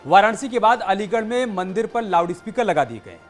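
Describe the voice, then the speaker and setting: A man's voice: sits high, expressive, a little fast, faint music behind. A man presenting television news as the studio anchor, formal and explanatory.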